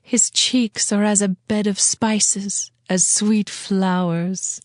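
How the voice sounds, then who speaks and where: A woman's voice: medium pitch, steady tone, slow, dry recording, clean studio sound, lightly acted. A woman playing a lovesick young bride in a dramatized scripture reading, speaking in a yearning, poetic way with music under her. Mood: tender adoration.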